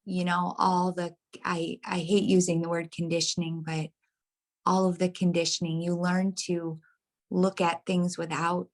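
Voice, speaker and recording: A woman podcast guest from the United States talking casually and earnestly about her views, haltingly, checking that she is followed, her voice tiring. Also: fairly low pitch, level tone. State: voice tiring, throat clearing. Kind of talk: casual reflective spiritual conversation.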